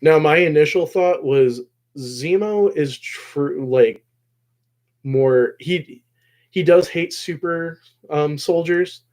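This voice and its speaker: A man hosting a casual recap discussion of a show episode, rambling along and often pausing mid-phrase. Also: on the high side, darkish tone. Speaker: host of a show discussion video.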